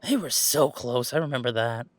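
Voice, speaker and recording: A man playing a laid-back young werewolf gamer in an intimate audio roleplay, chatting in a wry, halting, casual way. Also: medium pitch, no room sound, lightly acted. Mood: wistful, subdued. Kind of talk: intimate roleplay audio, naturalistic one-sided conversation.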